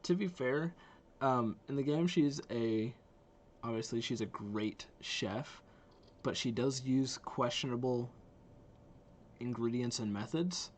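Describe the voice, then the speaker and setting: A man's voice: mid-range voice, takes it slowly. A man giving easygoing, chatty reaction commentary as a video creator watching game trailers.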